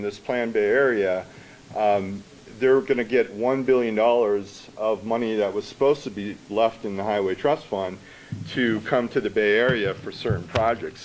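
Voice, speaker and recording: A man with a booming voice giving a conversational conference talk with fillers, recorded through a microphone set down in a conference hall. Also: slight background noise. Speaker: conference speaker.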